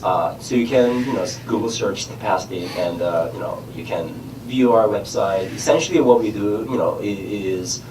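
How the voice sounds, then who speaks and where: A middle-aged man from Korea with a Korean accent, a startup founder speaking in a halting way with many fillers while nursing a cold and a numbed tongue. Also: fairly low pitch, echoey room, distant mic, background noise. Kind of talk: casual onstage chat. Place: conference stage in a large room.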